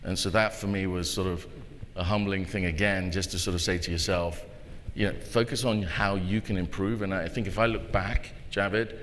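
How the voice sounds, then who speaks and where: A man speaking reflectively as a conference panelist, halting and repeating words as he thinks, recorded in a live hall. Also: sits low, fairly even intonation, a bit bright. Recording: echoey hall with background noise.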